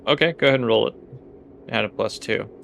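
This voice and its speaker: Male game master, low and croaky, improvising narration in halting phrases. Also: fairly bright tone, a little hiss or hum.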